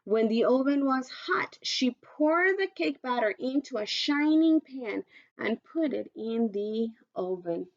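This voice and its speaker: A woman teacher reading aloud to children in an instructive way, pausing to pose questions, on a dull recording. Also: on the high side, animated. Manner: instructive, poses questions to listeners.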